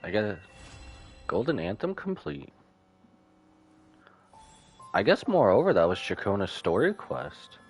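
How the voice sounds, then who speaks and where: A man's voice: fairly low pitch, sing-song, a little slow, pauses often, slightly rough. A man casually commentating on a livestream as a game streamer, rambling and loosely thinking aloud. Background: music.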